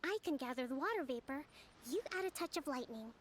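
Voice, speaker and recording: A woman voicing a composed young priestess and strategist in a video game, polite and measured. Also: high voice, expressive, slight background noise. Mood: calm. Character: young female priestess and calm grand strategist. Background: faint game music.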